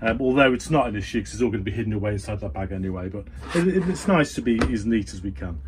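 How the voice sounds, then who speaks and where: A man's voice: lowish voice, lively intonation, fast. A middle-aged man casually talking through a hands-on build, thinking aloud as he works in a small indoor workshop. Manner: thinking aloud while working with his hands. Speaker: DIY radio hobbyist vlogger. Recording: clear background noise, room ambience.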